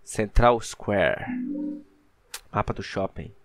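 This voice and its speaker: A man's voice: lowish voice, lively intonation, takes it slowly, a bit bright, no room sound, soft background music. A young Brazilian man giving casual, slangy gameplay commentary as a channel host. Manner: colloquial, slangy asides to viewers.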